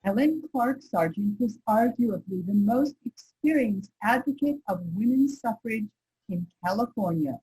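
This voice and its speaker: A woman with a very husky, raspy voice reading from a readers' theater script. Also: fairly high pitch, expressive, a little slow, dark tone, low-quality recording.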